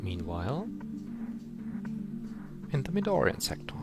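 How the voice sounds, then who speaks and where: A man narrating a space game saga in a gravelly voice with dramatic delivery. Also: medium pitch, wildly swooping pitch, a little slow, over loud music, heavily acted. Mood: portentous.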